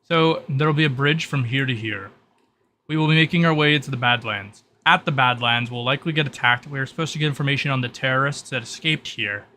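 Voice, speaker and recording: A man's voice: medium pitch, brisk, bright, forceful tone, a little hiss or hum. A man giving an improvised, matter-of-fact mission briefing in a tabletop role-playing game.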